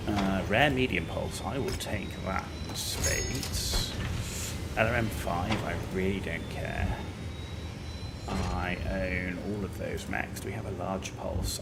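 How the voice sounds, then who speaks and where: A British man in his forties, giving relaxed, musing gameplay commentary with frequent fillers. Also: low voice, gravelly. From United Kingdom. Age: 40s. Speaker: gaming video host. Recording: loud game music behind the voice. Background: loud game music.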